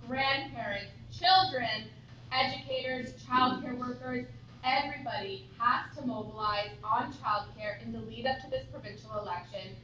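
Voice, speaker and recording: A woman's voice: mid-range voice, sharp tone. A woman speaking at a press conference as a childcare advocate, emphatic and rallying, heard from a distance without a working microphone. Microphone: far, without working microphone. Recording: distant, echoey, dull, noisy.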